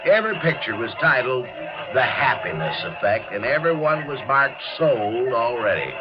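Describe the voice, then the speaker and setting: An actor playing a plain-spoken, folksy and wry assistant who also narrates a 1950s radio drama. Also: male voice, mid-range voice, sing-song, moderate pace, lightly acted. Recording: dull vintage radio, music behind.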